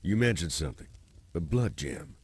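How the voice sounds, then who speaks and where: A man's voice: sing-song, moderate pace, heavily acted. A man playing a sly, folksy tavern owner in a video game. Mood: affable. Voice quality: very deep, husky. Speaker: tavern keeper character.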